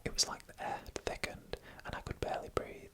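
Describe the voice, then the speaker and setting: A narrator whispering eerie first-person stories in ASMR style, in a hushed, deliberate, suspenseful manner.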